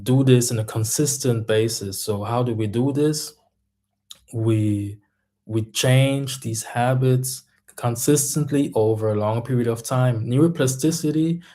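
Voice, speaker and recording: Slow, mellow man. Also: medium pitch. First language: German. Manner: thoughtful, searching for words. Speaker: mindset coach and music artist. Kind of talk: casual reflective monologue.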